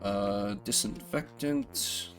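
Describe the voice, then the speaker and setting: Animated man streaming a game. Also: fairly low pitch, very slow, faint music behind. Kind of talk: solo-voiced video game playthrough with casual commentary.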